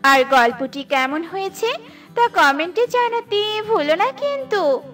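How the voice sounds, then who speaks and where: A woman's voice: very high voice, expressive, a little slow, fairly bright tone. A woman narrating a scripted children's story as a storyteller, with music behind her.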